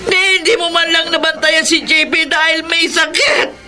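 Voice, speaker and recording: A woman's voice: very high voice, level tone, normal speed, sharp tone, faint music behind, full character voice. A Filipino actress playing a poor, emotional mother and long-suffering wife in a radio melodrama. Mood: grieving, reproachful. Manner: emotional, pleading and reproachful.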